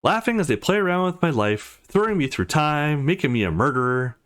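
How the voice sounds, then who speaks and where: A man's voice: on the high side, big pitch swings, a little fast, fairly bright tone, smooth, pitched above the performer's own voice. A man voicing an awkward, nervous young male protagonist of a visual novel, as if muttering his inner thoughts. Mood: exasperated.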